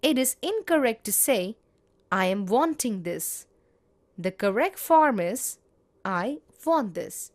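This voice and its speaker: A woman teaching grammar, reading example sentences in a measured, deliberate way. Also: on the high side, very animated, a little mellow. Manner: measured, spells out each example. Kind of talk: slow, deliberate grammar lesson.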